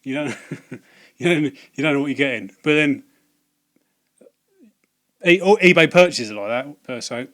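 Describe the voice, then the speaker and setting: A slightly hoarse man, talking casually to camera about video game purchases, hesitant with many ums and restarts. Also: mid-range voice, normal speed, pauses often, a bit bright. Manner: hesitant, lots of ums and restarts, wry asides. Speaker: video game collecting vlogger. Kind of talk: casual rambling vlog to camera.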